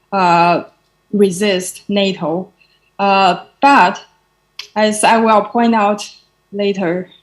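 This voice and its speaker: Woman w presenting at a conference, lots of uh pauses, mid pitch. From China. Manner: halting, many filler pauses. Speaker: conference speaker.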